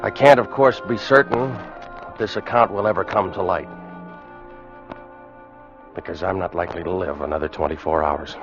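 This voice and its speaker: An actor playing a weary American first mate of a sailing schooner in an old radio drama. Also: male voice, low voice, steady tone, normal speed, long pauses. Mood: grave, foreboding. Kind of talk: 1940s-50s radio drama acting, first-person narration and dialogue. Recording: muffled vintage radio recording, music behind.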